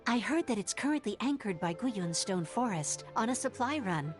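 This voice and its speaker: A woman playing an earnest, disheartened young woman in an anime fantasy game, explaining things in measured sentences. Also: a little high, animated, over music, lightly acted. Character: earnest, disheartened young woman who left her oppressive homeland.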